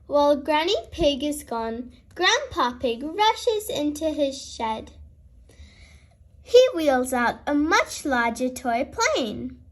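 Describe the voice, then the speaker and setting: A woman reading a children's storybook aloud as host, in an expressive storytelling style. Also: high-pitched, takes it slowly.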